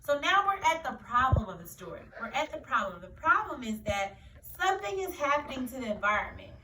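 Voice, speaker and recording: Female teacher, higher voice, explaining and repeating key points for kids; distant mic, echoey room. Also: very animated, a little fast, bright, forceful tone. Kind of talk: read-aloud lesson discussion for children. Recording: roomy echo, distant mic, faint noise.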